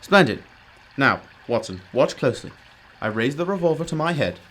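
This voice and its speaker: A man's voice: medium pitch, very animated, normal speed, a bit bright, a little hiss or hum. A man playing a brilliant, commanding detective in a radio drama, explaining emphatically with old-fashioned courtesy. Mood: focused. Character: brilliant, commanding, self-critical male detective.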